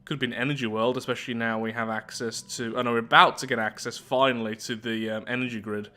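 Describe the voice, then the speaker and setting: A man's voice: mid-range voice, level tone, fast, sharp tone. A man hosting a game playthrough, reading event text aloud and adding casual asides as he reacts to what comes up. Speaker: gaming video host. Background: music.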